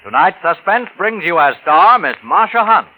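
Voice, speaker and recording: A man's voice: sits high, very animated, moderate pace, poor audio. A man announcing a suspense show in dramatic 1940s radio style. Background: music.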